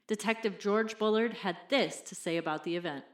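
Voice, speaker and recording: A female TV news anchor played by an actress with a mid-pitched voice. She reads in a composed, formal bulletin style for an audio drama. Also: fairly quick, close to an everyday voice. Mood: composed, grave.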